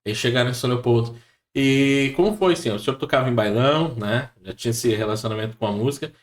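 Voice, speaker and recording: A man hosting a relaxed podcast interview, asking slow, hesitant questions full of fillers. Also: medium pitch. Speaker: podcast host. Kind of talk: casual interview.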